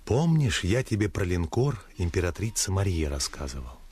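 An actor playing a seasoned, fatherly military commander, talking in a confiding, measured way in a radio drama. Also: low voice, big pitch swings, a little mellow, slightly rough, full character voice. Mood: confiding, grave. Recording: radio play with music underneath.

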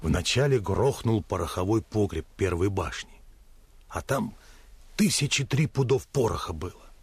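An actor playing a burly sailor commissar in a Russian radio play, telling a grave tale in measured short phrases with a husky voice. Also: male voice, on the low side, animated, full character voice. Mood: grim. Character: burly sailor commissar recounting a battleship disaster.

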